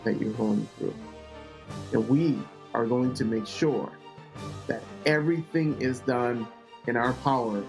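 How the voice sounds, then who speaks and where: A man's voice: medium pitch, unhurried, soft background music. A male official giving a formal public statement of assurance.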